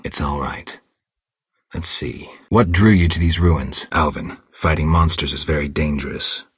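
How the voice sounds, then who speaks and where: A stoic, world-weary monster hunter from a fantasy video game. His voice is exceptionally deep and heavily husky, recorded close and dry. He speaks in terse, restrained phrases, blunt but quietly protective. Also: dry recording, exaggerated voice. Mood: calm, gentle. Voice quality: gravelly, husky low voice. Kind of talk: video game character dialogue.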